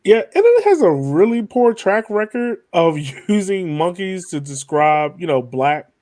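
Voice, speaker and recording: Male podcast host, slightly high voice, informal and musing, conversational interview delivery. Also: very animated, measured pace, a little mellow. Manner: informal, musing, builds rhetorical questions.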